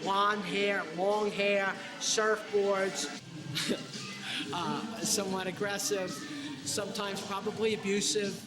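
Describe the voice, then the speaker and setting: A man's voice: sits high, flat delivery, takes it slowly. An older man from New York, a veteran surfer, reminiscing emphatically in a documentary interview. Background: loud music.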